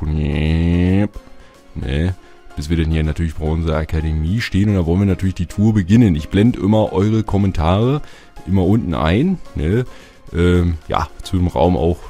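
A man with a cold and a slightly hoarse voice, chatting casually as he gives a tour of his build and keeps adding little filler tags. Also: low-pitched, dark tone. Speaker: Minecraft YouTuber. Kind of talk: casual gameplay tour narration.

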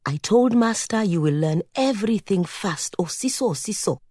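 Casting: bossy village auntie as a female narrator plays her, emphatic, instructive, dark-toned storybook voice. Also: mid-range voice, expressive, moderate pace, husky, no room sound, clean studio sound, pitched above the performer's own voice. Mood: instructing. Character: brisk, bossy village aunt coaching her young nephew.

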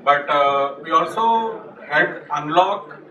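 A man speaking slowly and hesitantly at a technical meetup, with fillers, on a handheld mic. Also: a little high, lively intonation, pushed and bright, lo-fi sound. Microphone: handheld mic, far camera. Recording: echoey room from far off, noisy.

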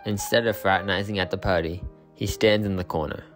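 A man with a very deep voice narrates a literary video essay. His scripted delivery is steady and explanatory. Faint music plays beneath him. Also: brisk.

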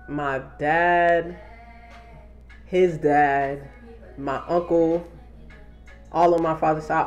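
A young man talking casually to a camera held at arm's length in a small wood-paneled room, using loose slang and swearing freely. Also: a little high. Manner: laid-back slangy talk, swearing. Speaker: vlogger. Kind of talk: casual vlog update to camera.

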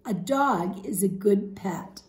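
A woman voicing a firm, skeptical mother from a storybook, with emphatic, measured delivery. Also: mid-range voice, animated. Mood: relieved. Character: boy's mother, firm and skeptical about pets. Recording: roomy home echo.

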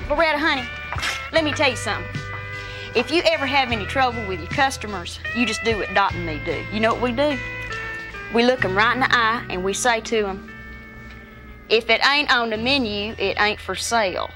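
An actress playing a wisecracking diner waitress in a 1980s TV sketch, with a sassy twangy drawl. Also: female voice, a little high, a little fast, over music, natural voice. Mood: boastful. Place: TV studio set with audience.

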